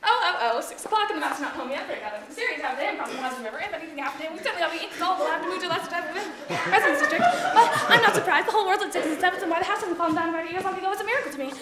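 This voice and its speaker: High-voiced, dramatic housemaid acted by a woman, echoey room. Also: lively intonation, very fast, few pauses, over a noisy crowd. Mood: flustered. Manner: dramatic, emphatic, gossipy. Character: chatty, fretful housemaid who turns into an exasperated, disgruntled actress. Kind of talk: theatrical stage monologue. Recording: echoey room with faint noise and clicks.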